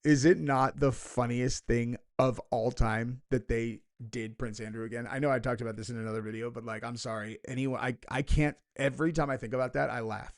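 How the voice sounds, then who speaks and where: A man's voice: mid-range voice, fairly even intonation, fairly quick, hardly any pauses. A young man talks through a reaction video in his ordinary mid-range voice. He rambles, drops in dry sarcastic asides, and leans on filler words like "like" and "you know". The tone is loose and conversational. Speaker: commentary video host. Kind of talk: casual reaction commentary.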